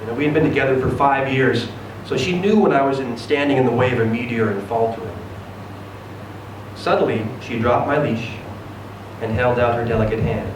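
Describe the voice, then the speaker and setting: A man reads a short story aloud with theatrical expression. His mid-pitched voice comes from some distance in a heavily reverberant room, with clear background noise. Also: brisk. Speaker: reader of a short story at a live event. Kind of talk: dramatic first-person story reading. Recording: very echoey room, distant mic, noisy.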